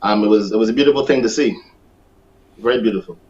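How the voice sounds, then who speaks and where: A man who coaches athletics, answering interview questions in a measured way, repeating words for emphasis. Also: on the low side, darkish tone, lo-fi sound.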